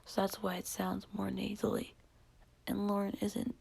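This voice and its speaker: A woman whispering softly as she comments on songs, hesitant and full of fillers. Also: mid-range voice, monotone, normal speed, vocal fry, slightly rough. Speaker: vlogger reviewing songs. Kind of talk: whispered ASMR-style music commentary.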